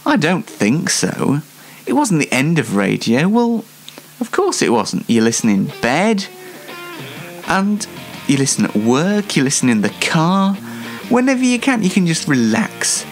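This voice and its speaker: A man's voice: a little high, big pitch swings, measured pace. A man hosting a casual solo radio-style show, rambling along in a whimsical, self-deprecating way. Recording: music bed behind the voice. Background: loud plucked guitar music bed.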